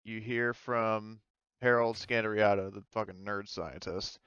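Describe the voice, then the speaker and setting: A man's voice: on the low side, fairly even intonation, unhurried, fairly bright tone, smooth, no echo, dull audio. A man casually narrating a tabletop roleplaying game as game master, speaking in hesitant, stop-start phrases.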